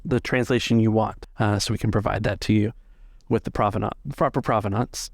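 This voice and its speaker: A man's voice: mid-range voice, a little mellow, no room sound, clean studio sound, natural voice. A man voicing a perky woman receptionist with chirpy customer-service patter in a tabletop game. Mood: upbeat sales patter. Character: perky woman receptionist at a translation sales office.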